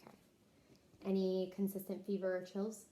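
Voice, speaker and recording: A woman's voice: mid-range voice, completely flat delivery, moderate pace, mellow. A woman conducting a health history interview in the manner of a clinical questionnaire, as a nurse taking down a patient's answers.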